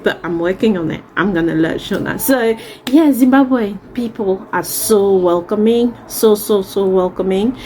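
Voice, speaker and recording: A woman from Central Africa talks casually to her viewers in a vlog. Her voice sits at an average pitch, and she is effusive, leaning on repeated words for emphasis. Faint ambient noise runs behind her. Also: measured pace, darkish tone. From Central Africa, Congolese and Cameroonian. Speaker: vlogger. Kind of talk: casual chat to camera.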